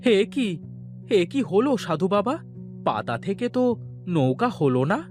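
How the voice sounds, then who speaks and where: A man's voice: high-pitched, big pitch swings, moderate pace, a little mellow, faint music behind. An actor voicing a poor, anxious and respectful village boatman in a fairy-tale cartoon, pleading and exclaiming. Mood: puzzled, apologetic.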